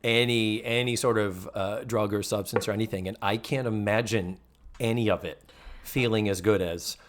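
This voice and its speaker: A man hosting a podcast, interviewing his guest in a casual, animated, chatty way with quick asides. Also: lowish voice, slight background noise. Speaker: podcast host.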